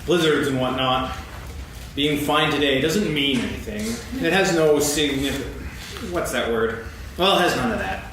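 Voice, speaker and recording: Man playing a gloomy deadpan donkey in an echoey hall. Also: medium pitch, a little slow, lightly acted. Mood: gloomy, dry. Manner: deadpan, dry pessimism. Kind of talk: staged reading of a children's play. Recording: echoey, noisy hall recorded from far off.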